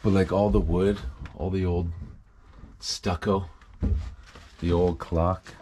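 A man's voice: deep voice, flat delivery, slow, dark tone, a little hiss or hum. A man hosting a casual exploring vlog, talking to viewers as he walks around with an action camera. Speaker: host of an abandoned-places exploration channel. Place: on the move through a derelict property, RV, overgrown yard and house.

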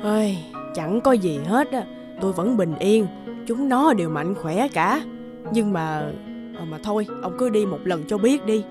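Worried elderly wife, female actor, halting cartoon delivery with musical backing. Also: medium pitch, animated, over music, natural voice. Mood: resigned. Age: older. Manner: sighing, hesitant. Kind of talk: cartoon voice acting.

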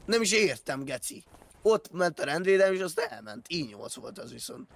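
A man's voice: sits high, big pitch swings, vocal fry, a bit bright, a little hiss or hum. A man bantering casually during an online role-play game, slangy and given to swearing.

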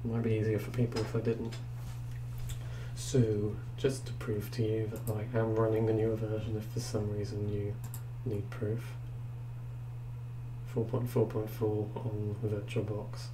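A deep-voiced young man casually reviewing software on his computer, thinking aloud with hesitant fillers. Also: completely flat delivery, a little slow. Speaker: tech reviewer. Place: indoors at a computer. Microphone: desktop microphone. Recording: noisy recording with hum.

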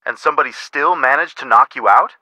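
A man's voice: medium pitch, a little fast, bright, forceful tone, no echo, poor audio. A man playing an inquisitive young friend in a video game, wry and questioning as he gives hints. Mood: skeptical. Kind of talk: scripted video game dialogue.

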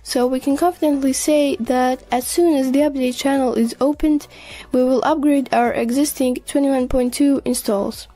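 A woman reading scripted narration for a tech news video in a measured, explanatory way. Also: high-pitched, fairly even intonation, dark tone.

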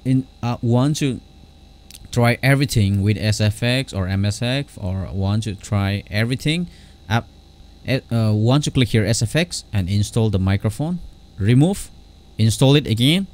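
A man giving an unscripted software tutorial in a halting, repetitive way. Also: lowish voice, animated. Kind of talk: unscripted screen-recorded tutorial.

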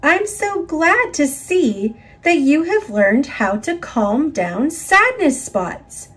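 A woman narrating a children's picture book in an expressive, instructive read-aloud style for young listeners. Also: high voice, takes it slowly.

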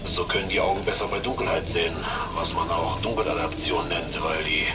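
A man's voice: low voice, little pitch movement, brisk, close to an everyday voice. An actor playing a nervous, pedantic male ship's doctor in a German sci-fi horror audio drama. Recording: distant from mic, loud music behind.

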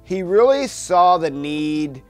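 A man's voice: sits high, big pitch swings, slow, darkish tone, faint music behind. A man in his sixties, a wheelchair basketball coach, telling the program's story steadily in a documentary interview. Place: gym office in front of a team banner.

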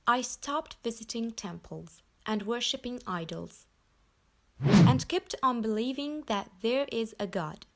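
A young woman from Nepal reading her personal story aloud in an earnest, measured way. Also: on the high side, expressive. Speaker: convert telling her story. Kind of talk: scripted personal narration.

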